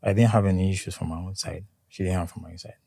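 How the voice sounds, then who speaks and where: A young Nigerian man with a Nigerian accent and a low, warm voice. He speaks calmly and in a measured way as an interview guest. The recording is dry. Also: vocal fry, husky, dry recording. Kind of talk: conversational interview.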